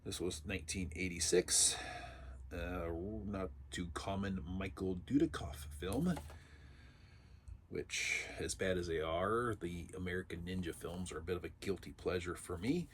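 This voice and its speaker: A man hosting a casual unboxing video, sounding raspy and congested because he is under the weather, and chatting in a rambling way with dry asides. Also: low-pitched, a little slow, a little hiss or hum. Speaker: vlog host.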